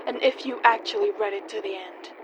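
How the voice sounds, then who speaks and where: A woman's voice: mid-range voice, a little fast, background noise, close to an everyday voice. A woman playing a mocking, teasing femme fatale in a comic noir radio play. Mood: angry, accusing. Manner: teasing, sardonic, theatrical.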